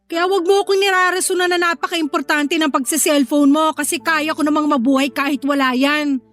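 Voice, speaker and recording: A woman's voice: high voice, fairly even intonation, a little fast, a bit bright. A woman playing a strict, irritable, scolding older sister in a Filipino audio drama, with a Filipino accent. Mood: exasperated, sarcastic. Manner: scolding, sarcastic. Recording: music behind the voice. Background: music.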